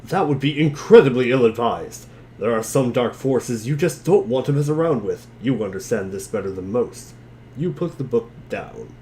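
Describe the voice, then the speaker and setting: A man's voice: medium pitch, lively intonation, moderate pace, mellow, slight background noise. A man giving a wry, theatrical dramatic reading of narration and dialogue, dropping into casual asides. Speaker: reader in a group dramatic reading.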